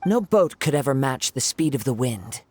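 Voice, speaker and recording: An actor playing a calm, perceptive young wandering samurai who speaks in a measured, formal way. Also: male voice, mid-range voice, slightly rough. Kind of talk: video game voice acting. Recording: soft game music behind.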